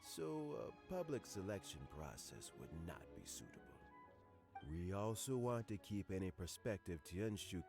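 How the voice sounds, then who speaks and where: An actor playing a frail, courteous old male statesman in a video game, speaking in a measured, formal way. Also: low-pitched, creaky, exaggerated voice. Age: older. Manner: measured, formal, gently explanatory. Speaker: game character. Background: game soundtrack music.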